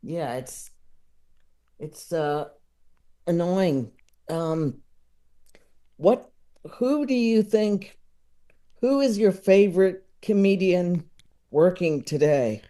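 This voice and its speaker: A man's voice: high-pitched, animated, very slow, pauses often, warm tone. A man in his thirties chatting as a podcast guest, rambling and repeating words as he gathers his thoughts. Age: 30s. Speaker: podcast guest, comedy expert. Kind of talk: casual chat.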